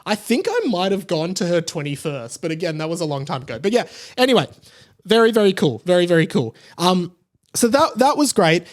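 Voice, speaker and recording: A man's voice: sits high, lively intonation, fairly quick. A man in his thirties with an Australian accent hosting a podcast solo, chatty and rambling in casual commentary. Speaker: podcast host.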